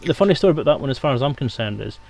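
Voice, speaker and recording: Man, mid-pitched, quick pace, casual stream chat, informal streamer. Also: expressive, creaky, a little mellow. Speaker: game streamer.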